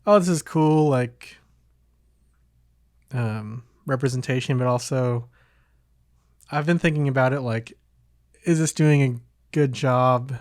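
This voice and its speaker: A man with a mid-pitched voice talks casually, like a podcast host. He feels his way through thoughts aloud, rambling and hesitant, in a very dry recording with no room sound. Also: slow pace, pauses often, darkish tone, no echo. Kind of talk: casual chat.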